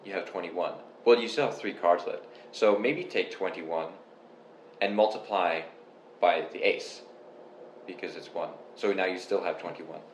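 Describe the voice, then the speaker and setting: Deep-voiced young man from Canada, relaxed rambling podcast chat, echoey room. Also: unhurried. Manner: relaxed, rambling, explains step by step. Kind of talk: casual chat. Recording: echoey room with faint noise.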